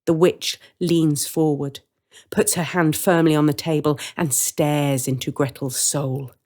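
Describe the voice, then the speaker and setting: A woman's voice: deep voice, steady tone, unhurried, darkish tone. A woman reading a scripted story as a dramatic narrator.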